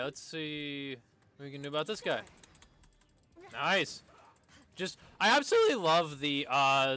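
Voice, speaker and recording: A man gives casual game stream commentary in a bright, forceful voice. His tone is clean and resonant, and he rambles in a laid-back way, stretching words for emphasis. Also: mid-range voice.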